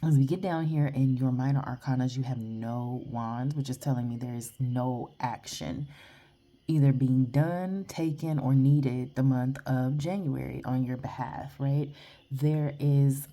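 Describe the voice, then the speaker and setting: A woman's voice: rumbling low, steady tone, unhurried, dark tone. A woman tarot reader, blunt and chatty, giving a rambling casual reading outdoors while congested and sniffly. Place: outdoors in the early morning.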